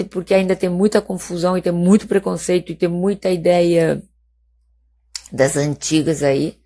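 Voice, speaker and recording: A woman in her fifties with a fairly low voice and a Spanish accent, native in Catalan and Castilian. She chats casually to viewers on a live stream, hesitating as she goes and often adding little tag questions to check they are following. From Mallorca, Spain. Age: 50s. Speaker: doctor hosting a live stream.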